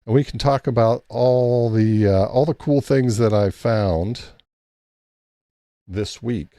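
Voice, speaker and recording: A man's voice: mid-range voice, takes it slowly, warm tone, dry recording, clean studio sound. A man hosting a casual late-night ham radio live stream, rambling easily and thanking chat members by name.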